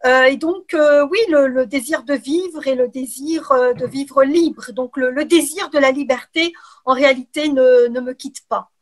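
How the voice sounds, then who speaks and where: A middle-aged woman from Algeria answering interview questions in a measured way, with frequent hesitations. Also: sits high. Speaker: interview guest, writer and political scientist.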